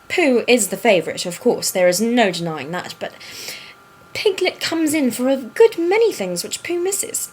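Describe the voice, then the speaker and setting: A woman playing a whimsical children's storybook narrator, reading expressively in an animated way. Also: fairly high pitch, a little hiss or hum, low-quality recording.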